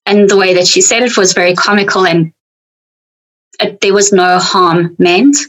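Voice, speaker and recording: A woman's voice: mid-range voice, steady tone, normal speed, fairly bright tone, low-quality recording. A middle-aged woman with a South African accent, a coach talking frankly and reflectively in a podcast conversation. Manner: frank, reflective, speaks to herself in quoted thoughts.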